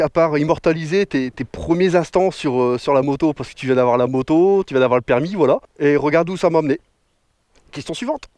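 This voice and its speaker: High-voiced chatty biker man. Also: a little mellow, no echo. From northern France. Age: 30s. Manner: joking, chatty, with slang. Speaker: motorcycle vlogger. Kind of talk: casual Q&A vlog. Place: outdoors beside his motorbike. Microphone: action camera mic.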